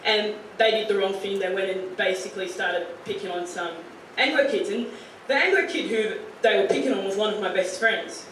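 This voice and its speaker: A young Australian woman in her late teens, speaking earnestly and casually to high school students, with many fillers like "you know" and "like.". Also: moderate pace, hardly any pauses, fairly bright tone. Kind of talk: informal talk to a student audience. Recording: very echoey room, picked up from far off, faint hiss.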